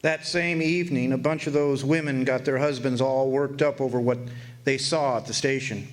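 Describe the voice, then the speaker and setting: A man's voice: medium pitch, steady tone, moderate pace, close to an everyday voice. A man playing a folksy townsman who narrates a radio drama in a colloquial storytelling style. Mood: wry recounting.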